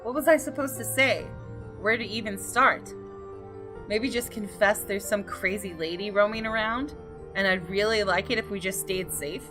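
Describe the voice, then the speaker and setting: A woman gaming host giving an expressive, dramatic scripted narration of a visual novel. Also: mid-range voice, moderate pace. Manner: expressive, story-reading delivery. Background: game music.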